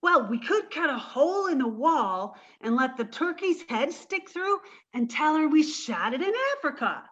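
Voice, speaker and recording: Actress as naive scatterbrained young woman, high voice, radio comedy, earnest, slightly muffled recording. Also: very animated, normal speed, fairly bright tone. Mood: innocent, sheepish. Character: sweet, dim-witted scatterbrained young woman roommate. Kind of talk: old-time radio comedy acting.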